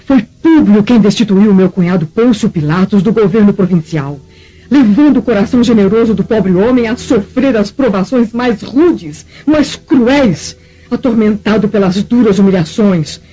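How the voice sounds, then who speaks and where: A woman's voice: medium pitch, moderate pace, mellow, slightly rough, close to an everyday voice. A woman playing a bitter, venomous Roman mother in a radio drama, speaking with theatrical, emphatic indignation. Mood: bitterly indignant. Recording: dull radio drama recording with music.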